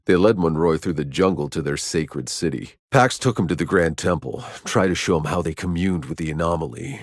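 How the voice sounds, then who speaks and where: A man's voice: deep voice, fairly quick, dry recording. An actor playing a hardened, weary male soldier in a sci-fi video game, speaking in a terse, dry, sardonic way. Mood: somber, recounting. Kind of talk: scripted game voice lines.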